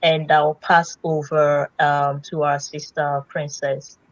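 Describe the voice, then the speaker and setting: A woman with a low voice hosts a casual live stream over a video call, chatty and full of fillers. The audio is dry and dull, with a lo-fi muffled tone. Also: level tone, slow, no room sound. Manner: warm greetings with frequent fillers. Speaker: host.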